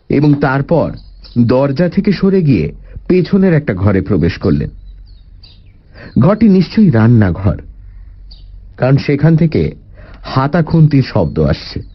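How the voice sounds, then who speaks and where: A man narrating a story in the first person, with an expressive, deliberate dramatic delivery. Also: medium pitch, husky, dull audio. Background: faint music.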